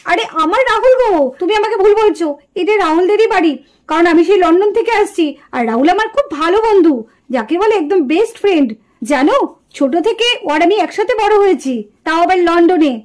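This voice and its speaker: A woman acting as a spoiled young city lady from a puppet cartoon speaks in an extremely high, swooping voice. She is vain and whiny, gushing one way and pouting the next, and peppers her talk with affected English. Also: animated, fast, a little mellow. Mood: affected, impatient.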